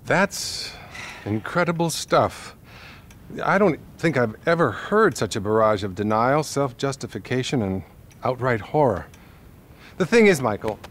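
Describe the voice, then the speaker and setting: An actor playing a smug, unhinged celebrity therapist, theatrical and full of clinical jargon, in a video game cutscene. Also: male voice, mid-range voice, very animated, measured pace, fairly bright tone, slight background noise. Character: smug, unhinged, self-promoting celebrity therapist with delusions of grandeur.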